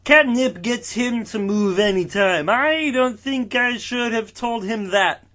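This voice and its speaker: A naive beast keeper, as a man reads him in a comic storybook voice. He is pitched well up high and rambles on, blurting out more than he should. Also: slow, sharp tone, heavily acted. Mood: defensive, blurting.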